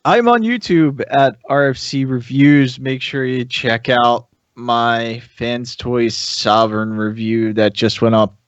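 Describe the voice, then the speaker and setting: Regular pitched guy chatting on a podcast, dry muffled lo-fi sound. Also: takes it slowly, few pauses, smooth, no room sound. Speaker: podcast panelist. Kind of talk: casual chat. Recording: dry, dull lo-fi connection.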